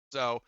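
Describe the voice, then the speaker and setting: A man's voice: sharp tone, no echo, muffled recording. A man acting as game master, narrating a tabletop roleplaying game casually and thinking aloud as he goes.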